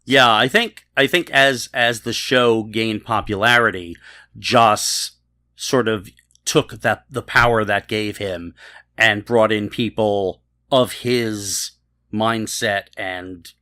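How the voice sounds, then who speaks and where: Bright-voiced man in his fifties, close dry sound. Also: fairly low pitch, steady tone, takes it slowly, dry recording. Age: 50s. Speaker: podcast co-host. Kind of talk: casual panel discussion of a TV show.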